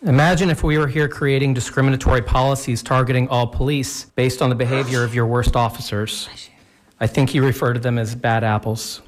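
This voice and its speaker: A man with a mid-pitched voice speaks as a public commenter. His delivery is a pointed, admonishing address to officials. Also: fairly even intonation, brisk, slight background noise. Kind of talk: public meeting speech.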